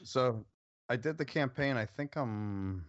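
A man co-hosting a casual podcast chat, rambling through his story with pauses and restarts. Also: medium pitch, muffled recording. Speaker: podcast co-host.

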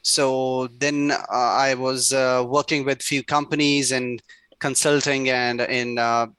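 Bright, flat-toned man, halting answers. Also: mid-range voice, clear, resonant tone, no room sound. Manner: hesitant, many "uh" fillers. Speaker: startup CEO, guest. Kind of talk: interview answers.

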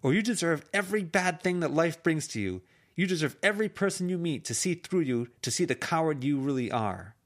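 A man's voice: fairly high pitch, lively intonation, a little fast, pitched above the performer's own voice, lightly acted. A man reading an amateur audiobook, voicing a furious teenage girl who hurls bitter, cutting insults. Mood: screaming rage.

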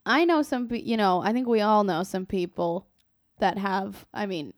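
A woman's voice: mid-range voice, moderate pace, dry recording, clean studio sound. A woman with a Minnesotan accent chatting casually on a podcast, playful and teasing. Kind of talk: casual chat.

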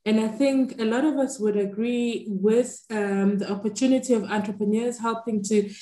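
A woman who leads a foundation answers in a measured, earnest and formal way. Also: a little high, steady tone, dark tone. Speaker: foundation leader. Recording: roomy echo.

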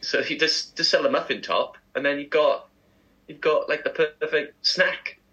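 A man co-hosts a casual breakfast radio show. His voice has a bright, forward edge with a husky grain. He talks in a chatty, enthusiastic way. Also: fairly high pitch, moderate pace, dull audio. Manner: chatty, enthusiastic food talk.

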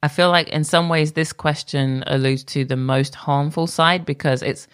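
A woman's voice: deep voice, fairly even intonation, moderate pace, clean tone. A woman hosting her own podcast, talking alone in a candid, conversational way that sometimes drifts off track. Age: adult. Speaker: podcast host. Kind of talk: solo podcast monologue.

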